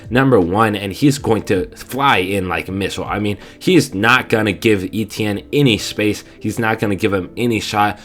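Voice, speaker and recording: Young man, stuffy nose, lowish voice, excited film breakdown, keeps saying right. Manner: enthusiastic, repeats "right?" often. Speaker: fan video host. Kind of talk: casual film breakdown commentary.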